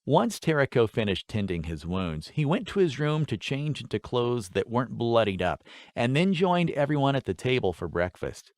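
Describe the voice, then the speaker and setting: Animated male storyteller in a dry booth. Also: medium pitch, moderate pace, few pauses, no room sound. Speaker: audiobook narrator. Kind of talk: scripted fantasy story narration.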